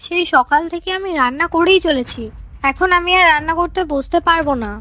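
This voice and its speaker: A woman's voice: extremely high pitch, a little fast, pushed and bright. A woman voicing a quarrelsome, jealous young village wife in a cartoon, snappy and defiant. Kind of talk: cartoon character dialogue.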